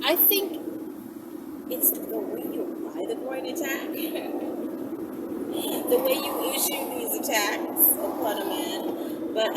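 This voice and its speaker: A woman vlogger chatting casually to her viewers in an animated, giggly, playful way as she answers their questions. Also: medium pitch, far from the mic. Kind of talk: casual vlog chat to viewers. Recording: loud ambient vehicle noise, off the mic.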